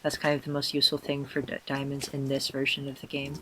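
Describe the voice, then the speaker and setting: A man with a hoarse, tired voice, self-consciously rambling through casual gameplay commentary with many fillers and restarts. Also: medium pitch, completely flat delivery, quick pace, pushed and bright, a little hiss or hum. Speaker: let's player.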